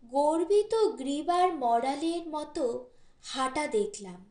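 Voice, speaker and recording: A woman reads a literary short story as an audiobook narrator. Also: high-pitched. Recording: roomy, echoing voice recording.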